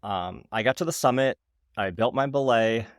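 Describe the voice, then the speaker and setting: Animated man in his forties. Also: mid-range voice, moderate pace, no room sound, clean studio sound. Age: 40s. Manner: animated storyteller, laughs often. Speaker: podcast co-host. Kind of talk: casual chat.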